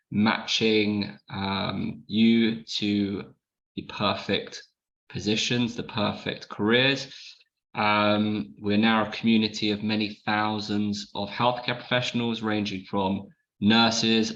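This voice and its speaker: Male host, low and monotone, muffled video call audio. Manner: brisk, hesitant with many ums. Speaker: webinar host, company founder. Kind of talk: webinar introduction.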